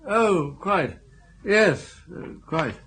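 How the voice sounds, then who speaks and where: Dotty, high-voiced elderly English lord. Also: male voice, animated, drawn-out words, fairly bright tone, breathy edge, full character voice. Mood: vague, flustered. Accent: upper-class English. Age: older. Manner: hesitant, muddled, repeats himself. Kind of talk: BBC radio comedy drama acting.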